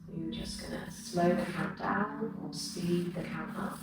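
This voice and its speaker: A woman yoga teacher leading a guided breathing meditation with soothing, measured instruction. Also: fairly low pitch. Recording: very echoey room, distant mic, loud music. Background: music.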